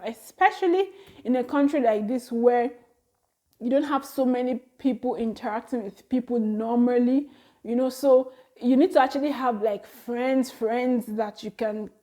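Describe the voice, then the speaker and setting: High-voiced Nigerian woman in her thirties. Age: 30s. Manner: chatty, laughs often, animated exclamations. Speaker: vlogger. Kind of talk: casual talk to camera.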